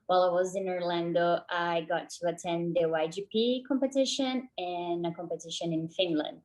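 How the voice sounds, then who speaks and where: A woman's voice: on the low side, moderate pace, darkish tone, rough recording. A young woman from Brazil, a professional ballet dancer, answering questions in a friendly way. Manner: friendly, laughs easily. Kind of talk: casual interview answers.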